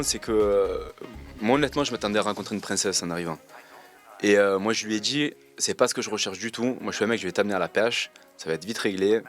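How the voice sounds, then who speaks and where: A man, answering interview questions in a relaxed, slangy way and pausing often with fillers. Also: fairly low pitch. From Marseille, France. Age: 20s. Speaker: reality TV contestant interviewed.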